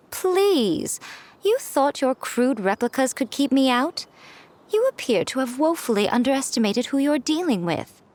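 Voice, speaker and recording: A woman's voice: high voice, sing-song, measured pace, darkish tone, a little hiss or hum. A woman playing an elegant, self-assured mystical lady in a game, haughty, composed and knowing. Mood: scornful. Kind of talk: English game dub voice acting.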